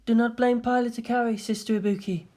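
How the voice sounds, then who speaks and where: A narrator voicing an eerily calm, formal teenage girl in a story reading, with measured and devoted delivery. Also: mid-range voice, voice pushed up, natural voice. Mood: serene, detached.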